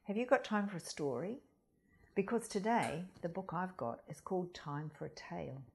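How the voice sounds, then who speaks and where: A woman reading a children's story aloud as a school storyteller, expressive and deliberate, speaking directly to young listeners. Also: fairly low pitch, gravelly, husky. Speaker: storytime reader at a primary school.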